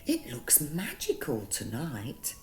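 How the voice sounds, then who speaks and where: Woman doing a sweet motherly strawberry lady, soft n reassuring, really slow, swoopy pitch, kids story. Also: wildly swooping pitch, soft background music, exaggerated voice. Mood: awed. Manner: soft, gentle, soothing. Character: kindly, reassuring female strawberry.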